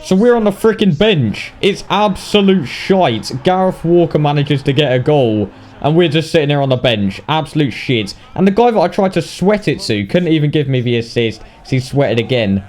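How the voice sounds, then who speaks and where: A British man giving casual, sweary, mock-indignant commentary on video game highlights. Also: expressive, normal speed, a little mellow, soft background music. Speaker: gaming video narrator.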